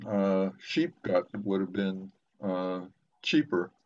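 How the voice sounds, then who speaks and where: Older male academic, very slow and deliberate delivery with pauses, dull video-call sound. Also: deep voice, animated. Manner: halting, deliberate, with long pauses. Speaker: professor moderating a book discussion. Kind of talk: literary discussion, lecturing informally.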